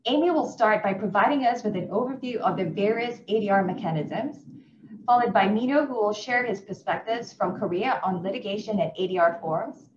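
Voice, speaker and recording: A woman hosting a webinar over a video call, bright in manner and laughing easily. Her voice sits in the middle range. The sound is roomy and a little dull, as if she talks in an echoey room. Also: medium pitch, a little fast, noisy background. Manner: upbeat, laughs often. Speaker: webinar moderator. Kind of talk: online panel hosting.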